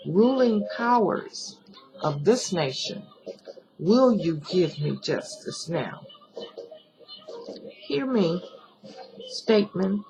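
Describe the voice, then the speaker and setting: A woman giving a solemn recitation of a protest poem, deliberate and pleading, pausing between short phrases. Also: medium pitch, darkish tone, low-quality recording. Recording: music behind the voice. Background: music.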